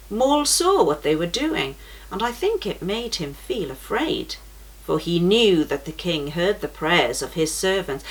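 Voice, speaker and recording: A woman's voice: mid-range voice, rough recording. A young woman reading a children's storybook aloud for a church story time, shifting her voice for the characters. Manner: gentle, shifts voice for characters in quotes.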